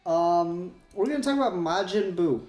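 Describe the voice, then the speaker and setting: A man's voice: high-pitched, animated, moderate pace, darkish tone, roomy sound, faint music behind. A man hosting a casual fan discussion podcast, talking in a rambling way and often correcting himself. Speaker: podcast host.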